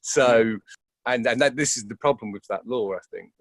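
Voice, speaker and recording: A man's voice: medium pitch, normal speed, dry recording. A man giving conversational interview answers as an actor, hesitant and often repeating words as he thinks aloud.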